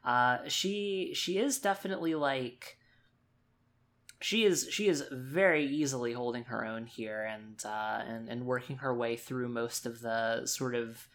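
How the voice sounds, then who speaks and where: A man's voice: mid-range voice, measured pace, fairly bright tone. A man improvising narration for his character in a tabletop roleplaying game, hesitant and loosely worded as he thinks aloud.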